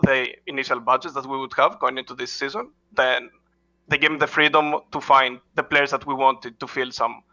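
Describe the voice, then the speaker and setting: Gravelly, bright-voiced man, halting speech. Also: medium pitch, fairly even intonation, highs cut off. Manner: halting, frequent "uh" fillers and restarts, non-native phrasing. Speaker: head coach, interview guest. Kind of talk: casual interview answers.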